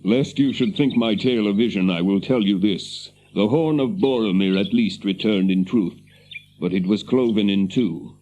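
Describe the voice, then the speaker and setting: An actor playing a grave, noble captain and grieving brother in a fantasy radio drama, with stately, measured, archaic speech. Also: male voice, fairly low pitch, mellow, a little hiss or hum, natural voice. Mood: sorrowful, solemn. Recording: dull lo-fi audio with birdsong behind.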